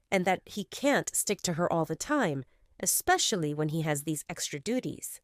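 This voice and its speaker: A woman narrating a romance audio story in an expressive, scripted style, close on a studio mic. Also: fairly low pitch, dry recording. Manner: expressive, swinging in tone.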